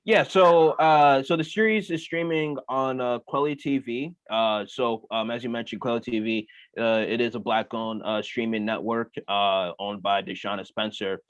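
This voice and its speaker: A man's voice: medium pitch, moderate pace, few pauses, smooth, no room sound. A young man, a comedian, answering interview questions casually with many "uh"s and "you know"s. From United States. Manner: relaxed, lots of fillers like "uh" and "you know". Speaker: comedian guest. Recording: muffled dry remote audio.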